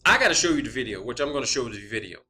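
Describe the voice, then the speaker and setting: Bright-voiced man explaining emphatically. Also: medium pitch, animated, racing, a little hiss or hum. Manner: emphatic, repeats phrases for stress. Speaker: video channel host. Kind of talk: unscripted explanatory monologue.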